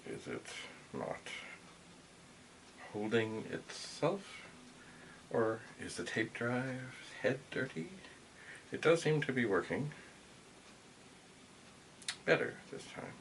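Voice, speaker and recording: A middle-aged man giving a casual hands-on demonstration, musing to himself in a halting way. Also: mid-range voice, sing-song, fairly bright tone, poor audio. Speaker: vintage computer hobbyist and video host. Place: cluttered indoor home room. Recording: clear background noise, equipment clicks.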